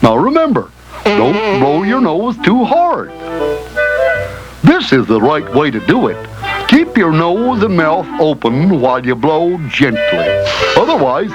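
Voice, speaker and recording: An actor playing a folksy, emphatic health teacher in an educational cartoon, on an old film soundtrack. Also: male voice, a little high, very animated, measured pace, rough recording. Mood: earnest, advising. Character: animated friendly male health teacher, folksy and instructive. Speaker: explainer guide. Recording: old 16mm film soundtrack, loud music.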